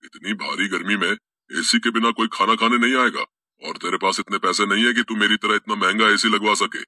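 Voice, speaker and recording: A man voicing a boastful, mocking rich dhaba owner in an animated story. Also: fairly low pitch, fairly quick, dry recording, studio quality. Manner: taunting, scornful.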